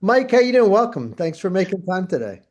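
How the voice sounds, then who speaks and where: A man's voice: high voice, sing-song, brisk, darkish tone. A middle-aged man hosting an interview, asking chatty, rambling questions with frequent restarts. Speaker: interview host.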